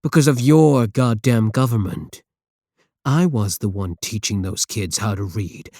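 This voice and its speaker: A man playing a slow, low-voiced possessive supervillain. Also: mellow, dry recording, studio quality. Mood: bitter, indignant. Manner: slow, theatrical, condescending endearments. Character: possessive, seductive male supervillain with idealistic contempt. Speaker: audio roleplay performer. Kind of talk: ASMR roleplay acting.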